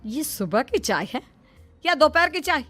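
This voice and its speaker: A woman's voice: high voice, very animated, a little slow, voice pushed up. A woman voicing a strict, sarcastic middle-aged mother-in-law in a cartoon story, scolding in an exasperated way. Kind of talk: Hindi cartoon story dialogue. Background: background music.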